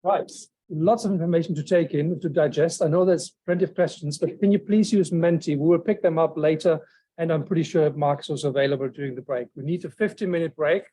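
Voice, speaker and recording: High-voiced man chairing a lecture. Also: fairly quick, warm tone. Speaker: chair of the session. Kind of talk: introducing speakers. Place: lecture hall. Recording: echoey hall from far off.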